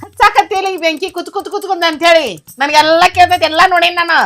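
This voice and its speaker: A middle-aged woman with a North Karnataka Kannada accent, playing a jealous, possessive mother-in-law who scolds her son and daughter-in-law. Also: high voice. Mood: scolding. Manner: scolding, sharp, and quick to blame. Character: jealous possessive mother-in-law, quick-tempered, later remorseful. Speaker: actor. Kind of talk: village family drama acting.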